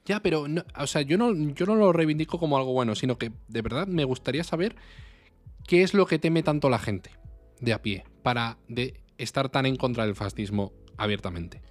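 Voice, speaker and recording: A man with an accent from Spain speaks as a podcast co-host, at an average pitch and in a dry, close-sounding recording. He talks colloquially and casually, probing with hypotheticals. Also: a little fast, dry recording, faint music behind. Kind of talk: casual conversational debate.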